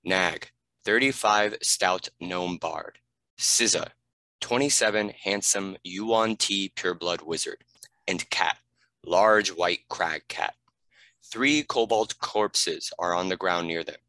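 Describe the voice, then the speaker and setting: A man's voice: low-pitched, slow pace, sharp tone, dry recording, low-quality recording. A man reading scripted stage directions as a narrator.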